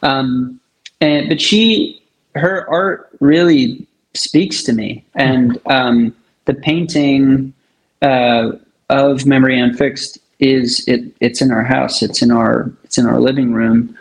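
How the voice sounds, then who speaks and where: A man's voice: medium pitch, steady tone, slow, vocal fry, rough recording. A man speaking casually and enthusiastically as a musician being interviewed.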